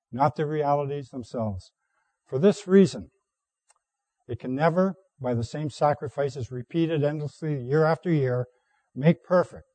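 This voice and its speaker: Hoarse preacher with a dark voice. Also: male voice, medium pitch, dry recording. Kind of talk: sermon with scripture reading.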